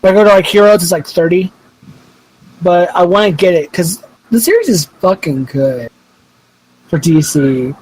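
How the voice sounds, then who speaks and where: A high-pitched young man in his twenties co-hosts a podcast. He rambles through loose casual chat and drops curse words freely. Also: expressive, normal speed, pauses often, a little mellow, slight background noise, low-quality recording. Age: 20s. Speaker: podcast co-host.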